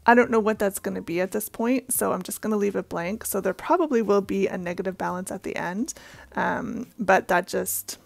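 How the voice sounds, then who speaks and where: A woman from Canada with a slightly hoarse, raspy voice, hosting a budgeting video and chatting casually as she works through her paperwork. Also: mid-range voice, fairly quick, no room sound. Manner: friendly, chatty with frequent ums and soft asides. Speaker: budgeting channel host. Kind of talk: casual talk-through while working on paper.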